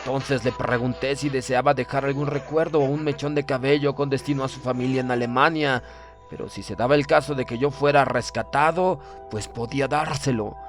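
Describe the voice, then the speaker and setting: A man narrating a horror story in the first person, in a measured, formal, dramatic manner. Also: medium pitch, steady tone. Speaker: audiobook narrator. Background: music.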